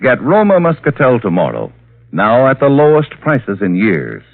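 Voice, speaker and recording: A man's voice: medium pitch, wildly swooping pitch, moderate pace, creaky. A man announcing a 1940s radio commercial with a polished, emphatic sales delivery. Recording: tinny, band-limited vintage radio recording.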